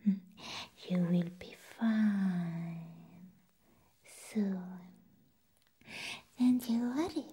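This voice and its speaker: Woman acting a slow whispering vampire nurse. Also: medium pitch, exaggerated voice. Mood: sweetly creepy. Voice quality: breathy whisper. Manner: slow, teasing, with drawn-out hums and soft chuckles. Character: crazy seductive female vampire nurse, softly menacing. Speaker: ASMR performer. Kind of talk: whispered ASMR roleplay. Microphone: close microphone, face near the camera.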